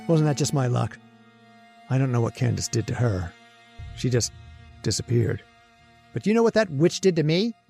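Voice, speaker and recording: A man's voice: on the high side, darkish tone. A man playing a henpecked, jittery immortal husband in an old-time radio drama, confiding his story with stammering, plaintive asides. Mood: wry, confiding. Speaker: actor. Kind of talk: old-time radio horror-comedy acting, first-person narration.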